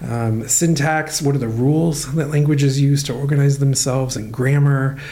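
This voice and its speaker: A man's voice: medium pitch, steady tone, normal speed, warm tone. Man explaining as a professor. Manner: thoughtful, many "um" and "uh" while explaining. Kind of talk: relaxed explanatory interview answers.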